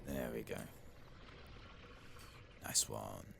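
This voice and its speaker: A soft-spoken man doing casual, unhurried ASMR commentary over a game playthrough, sometimes dropping toward a whisper. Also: a bass voice, gravelly, background noise. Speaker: host of a gaming ASMR channel.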